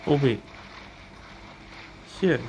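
A woman's voice: very slow, over music, low-quality recording. A woman game streamer giving casual commentary as she plays.

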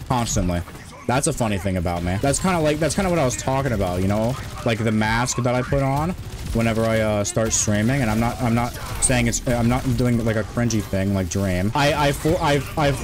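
A man in his twenties streaming a game, talking in a rambling, monotone way with many restarts. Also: medium pitch, fairly quick. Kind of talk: casual stream chat.

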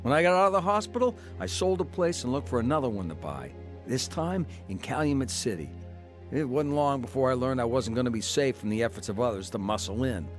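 A man's voice: mid-range voice, very animated, brisk, soft background music, natural voice. An actor playing an uneasy American tavern owner who tells his own story in a radio drama. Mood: reflective narrating. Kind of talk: radio drama acting and first-person narration.

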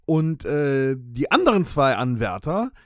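A man's voice: mid-range voice, sing-song, unhurried, no echo, clean studio sound. A man co-hosting a podcast in casual, chatty banter. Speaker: podcast co-host.

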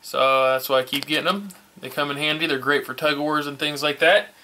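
A man's voice: on the high side, fairly even intonation, a little fast, pushed and bright, a little hiss or hum. Enthusiastic man unboxing hobby parts. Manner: enthusiastic, repeats "super stoked". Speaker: RC hobby vlogger. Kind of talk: casual unboxing vlog. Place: indoor hobby workshop bench. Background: package crinkling and clicks.

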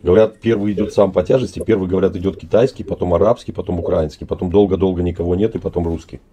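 Middle-aged guy, super deep dark voice, webcam chat, acting all innocent. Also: steady tone, a little hiss or hum. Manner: feigned naive, drawn-out questioning. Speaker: video chat participant. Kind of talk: casual video chat. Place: indoor room.

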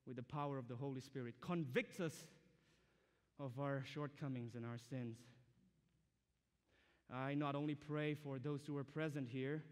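A man's voice: medium pitch, clean tone. A young man from Myanmar, an English speaker, preaching a sermon to students in a polite, earnest, conversational way. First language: a language of Myanmar. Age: young adult. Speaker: guest preacher. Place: large indoor auditorium stage. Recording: reverberant hall.

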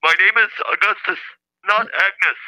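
A man voicing an indignant caller in a comedy phone-in, with a stilted, insistent, synthesizer-like flat delivery over the telephone. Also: high-pitched, unhurried, heavily acted. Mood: offended. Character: indignant male caller claiming to be a famous scientist's brother, robotic-talking. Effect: telephone call. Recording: dull lo-fi phone line.

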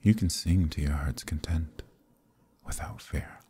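A man voicing a suave, possessive demon prince in an ASMR roleplay, speaking in a hushed, purring near-whisper. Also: measured pace, heavily acted. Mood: indulgent. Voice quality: deep gravelly voice.

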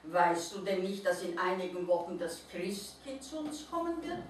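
A woman voicing a wise, kindly female owl who gently comforts a friend. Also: on the low side, big pitch swings, normal speed. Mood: comforting. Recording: roomy reverb, distant mic, faint noise.

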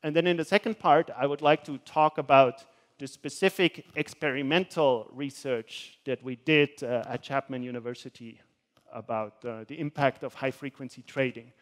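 A man's voice: medium pitch, moderate pace. A young man from Switzerland giving a university lecture with slides, hesitant and full of filler sounds as he walks around a hall stage. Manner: gestures while speaking, walks around the stage. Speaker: guest lecturer. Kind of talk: academic talk with slides.

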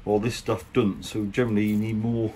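Low-voiced English man, noisy background. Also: little pitch movement, a little fast, warm tone. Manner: colloquial, chatty, plain-spoken. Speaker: boat restorer presenting a how-to. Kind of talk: casual hands-on tutorial talk. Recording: clear background noise, handheld workshop recording.

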